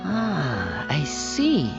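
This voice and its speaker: A woman voicing a calm, polite female investigator in a video game, analytical and courteous. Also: sits low, extremely slow, vocal fry, full character voice. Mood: thoughtful. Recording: muffled game audio over music.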